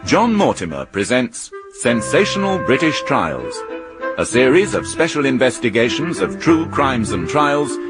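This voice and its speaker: Male radio announcer over loud violin music. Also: mid-range voice, very animated, a little slow. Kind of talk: formal scripted credits and introductions. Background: prominent violin music.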